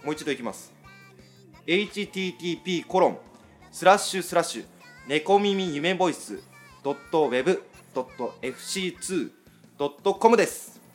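Man hosting radio talk with lively pitch. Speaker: radio show host. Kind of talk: casual radio talk with announcements.

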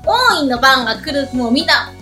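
A woman's voice: high-pitched, very animated, normal speed, sharp tone, soft background music. A woman guest in a casual group radio chat, playful and amused.

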